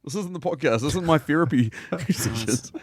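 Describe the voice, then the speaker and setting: Lively high-pitched New Zealand man, crude and teasing. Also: normal speed, husky, dry recording, studio quality. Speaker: film podcast co-host. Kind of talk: casual comedic banter.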